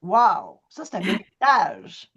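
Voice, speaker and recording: A woman interviewer, asking questions and making quick friendly quips. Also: medium pitch, wildly swooping pitch, a bit bright, slightly rough, lo-fi sound.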